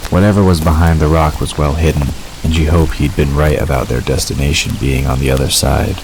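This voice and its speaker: A man's voice: extremely low pitch, fairly even intonation, fairly quick. A man reading scripted story narration for an audio drama. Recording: noisy, rain-like hiss behind.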